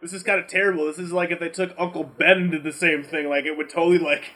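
Foul-mouthed man with a bright, forceful voice. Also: on the high side, quick pace. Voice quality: profane, blunt talk. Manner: rambling, animated ranting with mimicked quotes. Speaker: podcast co-host. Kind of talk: casual movie debate.

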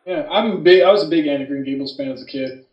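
A man with a fairly high voice, recorded in a roomy, echoing space. He chats casually as a podcast co-host, stating blunt opinions and swearing freely. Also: fast, highs cut off. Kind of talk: casual chat about films and books.

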